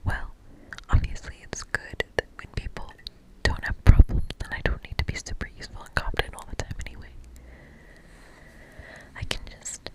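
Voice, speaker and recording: A woman's voice: natural voice, rough recording. A woman voicing an earnest, workaholic young witch in a whispered game read-aloud, dramatic and fretful. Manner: dramatic, fretful, solemn incantations.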